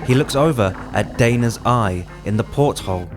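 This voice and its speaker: A man's voice: medium pitch, moderate pace. A man reading screenplay stage directions as a scripted drama narration. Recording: music under the voice.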